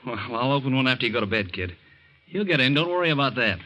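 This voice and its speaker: An actor playing a young reformed safecracker who talks earnestly and protests defensively in a 1940s radio drama. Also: male voice, medium pitch, sing-song, fast, pushed and bright. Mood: gentle. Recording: dull old radio broadcast.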